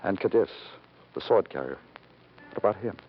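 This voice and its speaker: Low-voiced, hardboiled New York detective. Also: male voice, lively intonation, normal speed. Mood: pressing. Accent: American. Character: hardened, brooding New York homicide detective. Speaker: lead actor and narrator of a radio crime drama. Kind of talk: 1950s radio crime drama acting, noir narration. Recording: muffled, noisy old radio recording.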